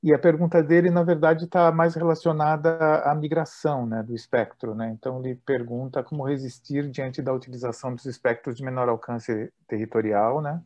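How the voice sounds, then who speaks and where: A man's voice: mid-range voice, steady tone, fairly quick, few pauses, a little mellow. A Brazilian man moderating an online academic debate, polite and conversational with some hesitation, over a video call. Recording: dull, lo-fi video call audio.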